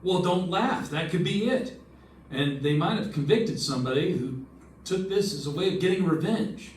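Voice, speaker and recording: A man's voice: on the high side, animated, moderate pace, a little hiss or hum, close to an everyday voice. A man playing a dogged, wry insurance investigator in an old-time radio detective drama. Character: Johnny Dollar, dogged male insurance investigator, wry and probing. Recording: echoey stage, distant mic, faint music.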